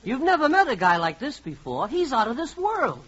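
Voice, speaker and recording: A man's voice: very high-pitched, huge pitch swings, normal speed. A man narrating a 1940s radio comedy in a wry, conversational, second-person style. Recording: muffled, faintly noisy 1940s radio recording.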